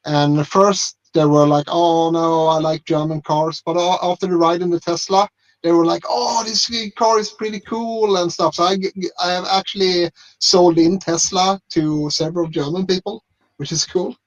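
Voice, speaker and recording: Man, caller, slightly high voice, blunt, hesitant, dull lo-fi call audio. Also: fairly even intonation, normal speed. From Sweden. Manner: blunt, hesitant with many uh's. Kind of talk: casual chat. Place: driving a car.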